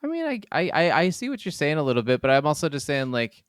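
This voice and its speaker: Young adult male, very clear smooth voice, medium pitch, dry recording, casual game chat. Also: big pitch swings, fast talker, dry recording. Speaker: podcast co-host. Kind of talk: casual gaming podcast chat.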